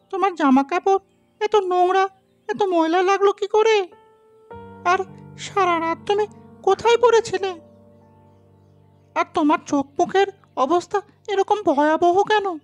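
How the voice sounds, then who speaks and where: Very high, slow village wife. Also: male voice, faint music behind. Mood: anxious questioning. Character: worried village wife, shrill and fretful. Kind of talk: voiced character in a Bengali ghost story.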